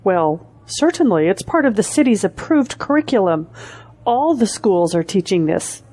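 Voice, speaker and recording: A woman playing a seasoned school principal in an audio drama, formal, measured and authoritative. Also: mid-range voice, sing-song, mellow. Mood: businesslike.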